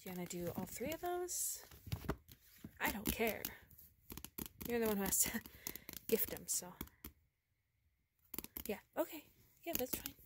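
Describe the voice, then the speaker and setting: A woman speaking softly and often whispering in a relaxed ASMR girlfriend roleplay, recorded indoors among bookshelves on a handheld phone. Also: on the high side, measured pace, pauses often, background noise. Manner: soft-spoken, drifting into whispers.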